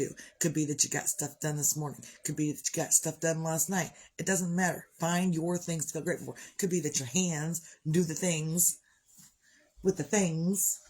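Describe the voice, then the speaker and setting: A woman with a congested voice who keeps clearing her throat, talking on a live video in a chatty, upbeat, rambling way about gratitude and her morning. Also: deep voice, darkish tone. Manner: chatty, upbeat, wanders off topic. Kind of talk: rambling motivational chat.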